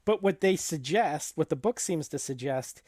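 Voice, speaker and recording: A woman's voice: low voice, lively intonation, normal speed, warm tone, no room sound, studio quality. A woman co-hosting a podcast, casually discussing books and film in a thoughtful, explanatory way. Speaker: podcast co-host.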